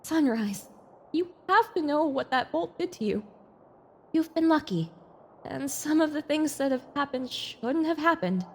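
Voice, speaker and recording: A woman's voice: sits high, animated, a little slow, a little hiss or hum. A woman voicing a troubled young filly survivor, blunt and emotional, in an audio drama. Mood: somber resolve. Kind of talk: audio drama character acting.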